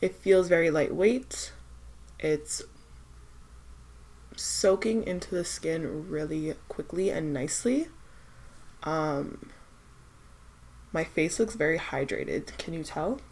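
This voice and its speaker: A woman's voice: low voice, animated, takes it slowly, pauses often, a little mellow. A young woman vlogging about skincare samples, stuffed up from a cold, chatty and hesitant with lots of fillers as she thinks aloud. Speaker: beauty vlogger. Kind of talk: casual product-testing vlog.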